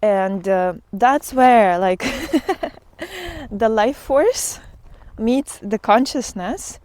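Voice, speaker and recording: A woman giving an informal spiritual talk to followers in a halting, reflective way with drawn-out pauses, as a vlogger out on a walk. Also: on the high side, animated, darkish tone, dry recording.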